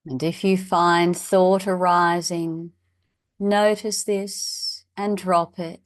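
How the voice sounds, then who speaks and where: A woman leading a guided meditation as a teacher, in a measured, instructional way with long pauses. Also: fairly low pitch, little pitch movement, no room sound, clean studio sound.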